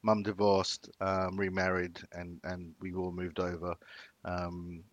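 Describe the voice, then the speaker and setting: A man's voice: low-pitched, completely flat delivery, slow, no room sound, lo-fi sound. A man, talking casually in an interview, with many fillers and restarts. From Philippines, raised in Sydney. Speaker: chef.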